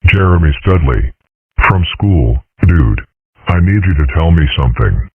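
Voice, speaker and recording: A man voicing a dim, laid-back schoolboy bully in a cartoon comic dub, slangy and husky. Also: extremely low pitch, expressive, unhurried, no echo, full character voice. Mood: dim, friendly. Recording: muffled band-limited audio.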